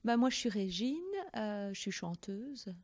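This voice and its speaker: Mellow-voiced woman singer. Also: medium pitch, measured pace. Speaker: singer working in hospitals. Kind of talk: self-introduction at a panel.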